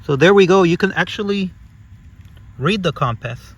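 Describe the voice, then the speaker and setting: A man's voice: high voice, lively intonation, moderate pace, slightly rough, lo-fi sound. Casual man demonstrating a product hands-on. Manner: repeats himself, narrates each step as he does it. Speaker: product reviewer.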